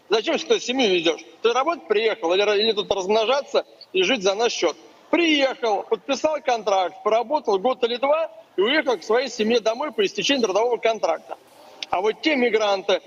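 A man's voice: sits high, slightly rough, muffled recording. A man, a guest commentator on a live remote link, arguing emphatically with rhetorical questions.

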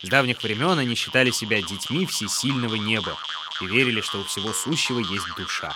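A man's voice: on the low side, a little fast. A man narrating a folk tale as a storyteller, with music behind his voice.

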